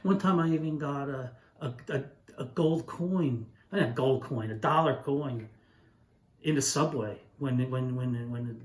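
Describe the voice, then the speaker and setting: A middle-aged or older man, a poet, speaking in a conversational, reflective and sometimes halting way as he reads and reminisces. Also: mid-range voice, darkish tone. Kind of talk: poetry reading and informal reminiscence. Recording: echoey room.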